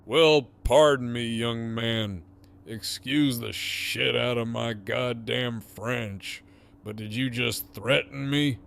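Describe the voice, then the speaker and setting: Man as menacing male gang leader for audio drama: unhurried, gravelly, mocking and profane. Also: mid-range voice, fairly even intonation, a bit bright, slight background noise. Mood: mocking menace. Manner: taunting, theatrical, drawn-out delivery.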